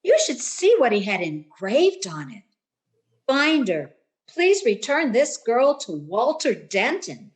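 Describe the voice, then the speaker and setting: A woman's voice: a little high, sing-song, slow pace. A woman playing a cheerful, helpful teenage schoolgirl in a vintage radio sitcom style. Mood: chatty, coy.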